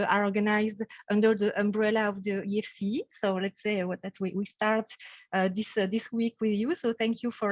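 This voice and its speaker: A woman with a Belgian French accent, French native, chairing a webinar in a halting way with many fillers. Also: mid-range voice, dry recording, muffled recording. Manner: hesitant, many fillers and "let's say". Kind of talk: webinar introduction. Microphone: video call.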